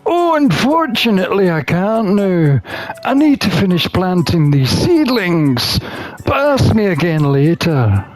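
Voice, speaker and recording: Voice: medium pitch, sing-song, unhurried, faint music behind, rough recording. A person voicing a flustered, protective satyr from a visual novel in a hesitant, stammering way. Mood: flustered, evasive. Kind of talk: acted visual novel dialogue reading.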